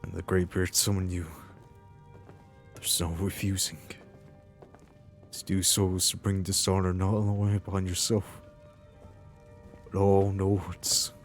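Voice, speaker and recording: A man's voice: low voice, completely flat delivery, measured pace, pauses often, faint music behind. A man playing a humble, earnest warrior hero in a fantasy game roleplay, speaking hesitantly and sincerely. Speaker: roleplay player.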